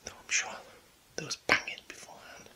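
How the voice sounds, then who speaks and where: A man whispering an uneasy, halting first-person commentary in a house at night, sounding spooked and nervous. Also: bright, forceful tone. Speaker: narrator recording himself at home at night. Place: indoors in a house.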